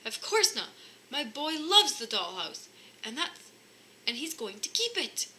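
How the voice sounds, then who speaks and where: A woman voicing a huge, gruff and blunt father in a children's storybook. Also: high-pitched, big pitch swings, a little slow, pushed and bright, a little hiss or hum, heavily acted, low-quality recording. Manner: blunt, roaring. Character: huge gruff father defending his son.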